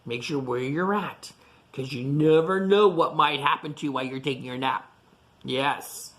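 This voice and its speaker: A woman reading a picture book aloud to children, chatting to them in animated asides and questions. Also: extremely low pitch, moderate pace. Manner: animated asides to young listeners, asking questions. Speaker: storytime reader.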